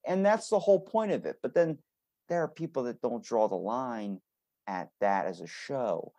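A man's voice: medium pitch, normal speed. A man hosting a casual podcast chat, talking bluntly with plenty of swearing and acting out bits as he goes. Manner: blunt, profanity-laced, animated re-enacting.

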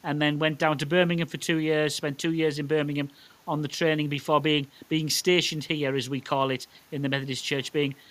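Middle-aged County Durham man, higher-pitched voice. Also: level tone, fairly quick, fairly bright tone. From South County Durham, northeast England. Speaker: Methodist minister. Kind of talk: informal interview answers.

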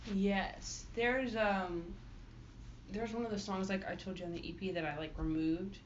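A woman's voice: mid-range voice, fairly even intonation, unhurried. A young woman, a singer-songwriter, chatting casually in an interview and filling her answers with "like". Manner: chatty, many fillers. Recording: dull lo-fi audio with faint noise.

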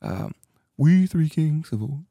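Animated man hosting a church podcast. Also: a little high, takes it slowly, creaky, dry recording, clean studio sound. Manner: animated, preachy emphasis, quotes scripture. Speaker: pastor and podcast host. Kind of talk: casual church podcast chat.

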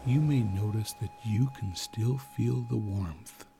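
A man narrating a guided sleep meditation in a soothing manner, leaving long pauses. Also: on the low side, creaky, music in the background.

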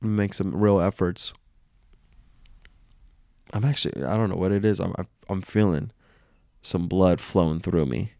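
A man's voice: deep voice, measured pace, creaky, long pauses, a little mellow, dry recording, clean studio sound. A man hosting a casual solo podcast, congested and nasal from illness, rambling and self-aware. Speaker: podcast host.

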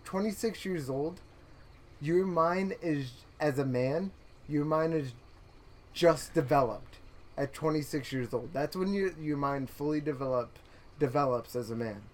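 A man's voice: fairly high pitch, unhurried, darkish tone. A young man co-hosting a podcast, talking in a rambling, earnest way with frequent restarts and laughs. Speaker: podcast co-host. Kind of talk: casual chat.

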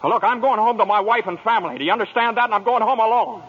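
A man's voice: sits high, racing, lightly acted. An actor playing a desperate, bewildered family man who stammers and repeats himself, in 1940s radio drama style. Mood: bewildered, frantic. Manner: stammering, repeating words, agitated. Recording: muffled old radio recording with noise.

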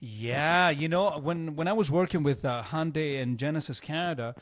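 A man's voice: on the high side, normal speed, no room sound. A man from Chile hosting a radio show in casual, conversational banter.

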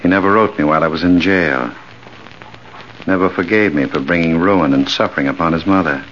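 An actor playing a bitter gray-haired ex-convict bent on revenge, sardonic and deliberate, in 1940s radio drama style. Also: male voice, low voice, fairly bright tone. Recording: dull, hissy old radio recording.

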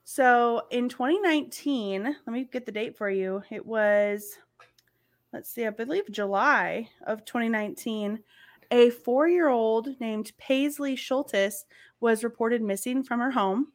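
A woman's voice: fairly high pitch, unhurried. A woman from the American South co-hosting a podcast, chatting casually and laughing often as she tells stories. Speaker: podcast co-host. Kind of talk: casual chat.

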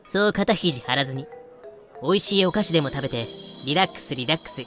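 Man voicing a breezy, bright-voiced female pirate captain. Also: on the high side, expressive, brisk, faint music behind. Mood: sly, coaxing. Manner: breezy, self-assured feminine phrasing. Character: bold, easygoing, scheming female pirate captain Blackbeard. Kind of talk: fan-made audio drama acting.

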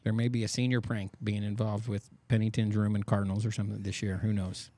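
A man's voice: lowish voice, little pitch movement, quick pace, dark tone. A man hosting a podcast, running a casual quiz with teasing, playfully bossy banter. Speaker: podcast host.

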